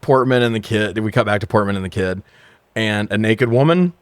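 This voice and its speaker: A man's voice: lowish voice, fairly quick, smooth, dry recording. A man co-hosting a casual movie-review show, recapping plots in a sweary, irreverent, joking way. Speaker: podcast co-host.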